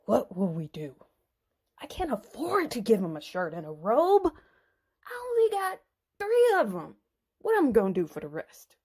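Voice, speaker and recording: A woman's voice: fairly high pitch, huge pitch swings, normal speed, a little mellow, slightly rough, exaggerated voice. A woman voicing scheming Philistine men in a Bible story dramatization, scornful and threatening. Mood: worried. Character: scheming, sneering Philistine men, menacing wedding guests.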